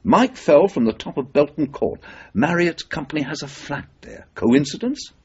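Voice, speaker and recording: Driven, highly animated journalist. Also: male voice, normal speed, slightly rough, full character voice. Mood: persuasive. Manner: insistent, emphatic, persuasive. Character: driven, persuasive male freelance journalist, obsessed with a suspicious death. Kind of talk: radio drama acting. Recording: muffled 1980s radio drama recording.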